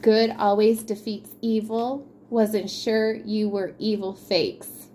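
A woman's voice: mid-range voice, level tone, takes it slowly, a little mellow, a little hiss or hum. A woman co-hosting a podcast, chatting casually about sports news in an informal, slangy way. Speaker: podcast co-host.

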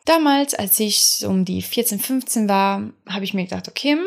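Woman, young adult, non-native German speaker, podcast host, casual monologue, rambling delivery, mid pitch. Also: normal speed, vocal fry. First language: not German. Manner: rambling, full of fillers and restarts.

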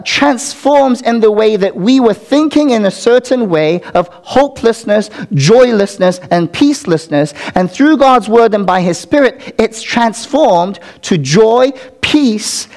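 A man preaching a sermon to his congregation as its pastor, earnest, with call-and-response asides to the listeners. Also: very high voice.

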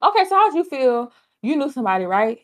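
Higher-voiced ranting woman podcaster. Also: sing-song, fast talker, no room sound, studio quality. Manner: ranting, joking, profane. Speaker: podcast co-host. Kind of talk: casual podcast banter.

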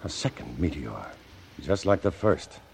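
An actor playing a grave, awed scientist in a 1950s radio drama, speaking in a weighty, deliberate way. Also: male voice, low voice, lively intonation, gravelly, full character voice. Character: male scientist, grave and awed by an alien threat. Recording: noisy vintage 1950s radio recording.

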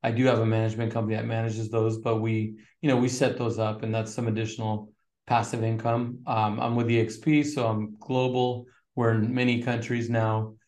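A middle-aged man from Northern California, a real estate agent chatting casually as an interview guest with relaxed fillers. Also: fairly low pitch, normal speed, reverberant. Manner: relaxed, fillers, flat steady delivery.

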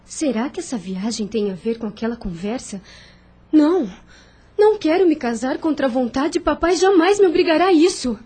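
An actress playing a meek, anxious young farmer's daughter in a radio drama, speaking formally and earnestly. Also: female voice, high-pitched, animated, moderate pace, mellow. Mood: distressed, pleading.

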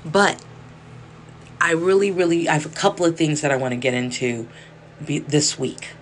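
A hoarse woman from Los Angeles hosting a solo podcast, talking in a rambling, chatty way that keeps interrupting itself. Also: low voice, normal speed. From South Central Los Angeles. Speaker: podcast host. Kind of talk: casual solo podcast monologue. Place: indoor room with space heater. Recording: faint background whirring noise.

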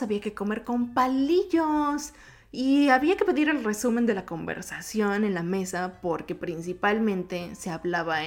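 A woman with a Mexico City accent, a native Japanese speaker, hosting a solo podcast in a chatty, informal, slightly sing-song way. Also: mid-range voice. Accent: Mexico City Spanish. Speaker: podcast host.

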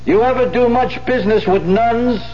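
An actor playing a brusque, wary American police lieutenant in a 1970s radio drama, questioning in a clipped, commanding way. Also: male voice, extremely high pitch, normal speed. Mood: stern, probing. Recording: muffled, hissy 1970s radio recording with hum.

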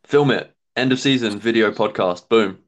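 Brief: thirties male guest, lowish voice, easygoing and slangy. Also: moderate pace. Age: 30s. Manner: laid-back, laughs easily, slangy. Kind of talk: casual chat.

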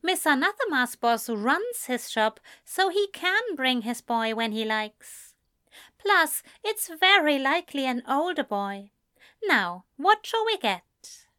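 A woman reading a patient, gently firm male uncle who speaks in a measured tone. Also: high-pitched, sing-song, voice pushed up, exaggerated voice. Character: patient, measured middle-aged male guardian.

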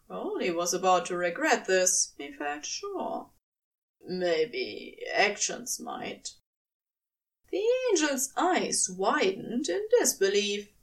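A woman reading fiction aloud as a narrator, giving an expressive scripted performance. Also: mid-range voice, slow, distant mic. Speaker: podfic reader and narrator.

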